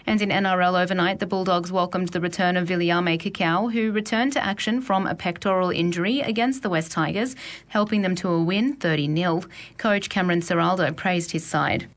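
A woman's voice: fairly low pitch, fairly quick. Woman reading radio news. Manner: crisp and even. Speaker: news reader. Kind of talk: scripted radio news bulletin.

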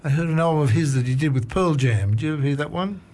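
A man's voice: fairly high pitch, quick pace, slight background noise. A man hosting a radio music show, chatting casually with a guest and often restarting his sentences. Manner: relaxed, chatty, frequent fillers and restarts. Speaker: radio host. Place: radio studio.

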